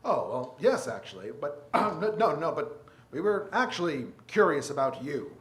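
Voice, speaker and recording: A man's voice: on the high side, very animated, moderate pace, fairly bright tone. A man playing an affable, bumbling police detective in a 1940s-style radio drama, flustered and jovial. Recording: echoey theater with audience noise.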